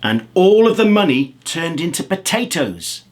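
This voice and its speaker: A man reading a children's story aloud as an expressive storyteller. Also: fairly high pitch, unhurried. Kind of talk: animated children's story narration.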